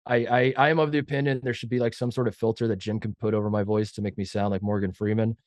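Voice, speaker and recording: A man's voice: fairly low pitch, little pitch movement, quick pace, a little mellow, no room sound. Guy with a head cold, kinda nasal, rambly jokey podcast host, dry close mic. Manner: rambling, joking, self-mocking. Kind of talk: casual sports chat.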